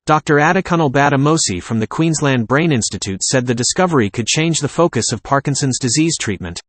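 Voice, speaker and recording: A man's voice: medium pitch, lively intonation, brisk, a bit bright, dry recording. A man reading scripted practice passages aloud as a narrator.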